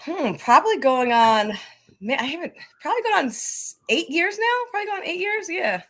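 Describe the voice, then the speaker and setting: A woman's voice: very high voice, sing-song, moderate pace, fairly bright tone. A woman with an American accent talking casually as an interview guest. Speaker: podcast guest, coaching organization leader.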